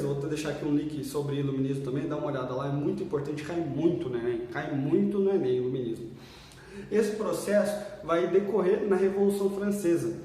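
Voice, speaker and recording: High-voiced man teaching in an echoey room. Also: mellow, a little hiss or hum. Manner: casual, chatty, checks in with "beleza?" and "certo?". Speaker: history teacher. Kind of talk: exam-prep video lesson. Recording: echoey room, mic far off.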